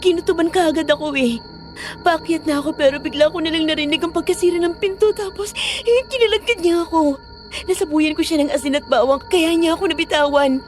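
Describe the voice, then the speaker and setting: An actress playing an emotional, stubborn young woman in an audio horror drama. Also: very high voice. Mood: shaken, tearful. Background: music.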